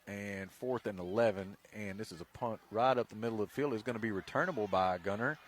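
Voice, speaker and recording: A man with an American accent doing live high school football play-by-play, with folksy, joking banter between plays. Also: fast. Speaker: play-by-play announcer. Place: outdoors at a football game. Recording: noisy outdoor live sports broadcast. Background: stadium ambience.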